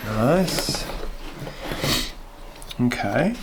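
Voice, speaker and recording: A man's voice: mid-range voice, very animated, very slow, creaky. A man with the flu, sounding sniffly, thinking aloud as he does his own wiring inside a small boat cabin. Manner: thinks aloud hesitantly while working with his hands. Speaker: boat owner doing DIY wiring. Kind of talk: casual vlog narration while working. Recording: noisy background.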